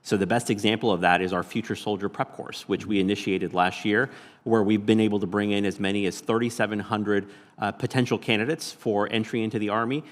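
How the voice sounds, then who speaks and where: American man, lowish pitch, official testifying formally, echoing hearing room. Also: fairly even intonation, brisk. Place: large indoor hearing room.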